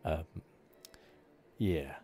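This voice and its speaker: A soft-spoken man giving hesitant, rambling ASMR commentary as host of a video game playthrough. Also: extremely slow, soft background music.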